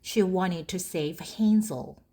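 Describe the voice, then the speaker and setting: A woman reading a children's story aloud in short, deliberate phrases for language learners. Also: on the low side, warm tone. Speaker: storybook narrator.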